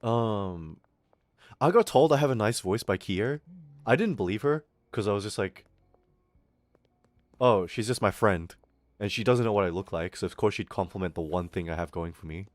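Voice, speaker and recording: A man's voice: lowish voice, normal speed, pauses often, no room sound, studio quality. A young man with an Australian accent that slips toward American, ranting casually on a stream, swearing and mocking people in put-on voices. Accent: Australian with some American.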